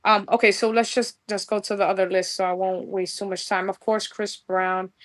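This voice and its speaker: A woman's voice: mid-range voice, flat delivery, moderate pace. A bubbly young woman with a New York accent, chatting in a podcast interview. She gushes freely and drops plenty of street slang. Her voice sits in an ordinary middle register for a woman. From New York, USA. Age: young adult. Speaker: guest musician.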